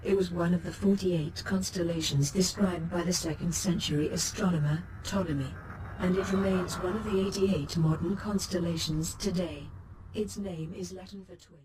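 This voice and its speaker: A female ship computer, voiced by a woman, with a lowish voice and even, informative delivery. She reads out facts about the stars in a steady way. A noisy ambient hum runs behind her. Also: moderate pace, close to an everyday voice.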